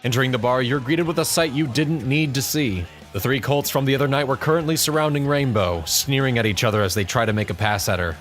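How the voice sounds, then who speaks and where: Male narrator for a scripted audio drama, medium-pitched voice, faint music underneath. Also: fast, a bit bright.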